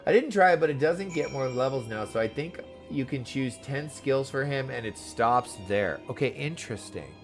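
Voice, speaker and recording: A man's voice: medium pitch, lively intonation, normal speed, few pauses, faint music behind. A man giving casual game commentary as a streamer, chatty, playful and easily sidetracked. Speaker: gaming streamer.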